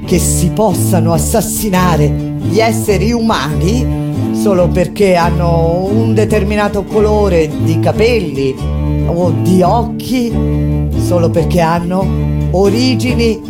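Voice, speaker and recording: A woman giving a solemn, deliberate theatrical narration of a historical testimony, as an actress in a staged reading. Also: fairly low pitch. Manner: deliberate, solemn, measured phrasing. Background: plucked guitar music.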